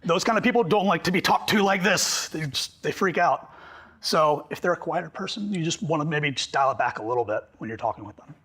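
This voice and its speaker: A man presenting a workshop to a group, talking fast and animated, slightly hoarse from losing his voice. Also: on the high side. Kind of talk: live workshop talk to a group.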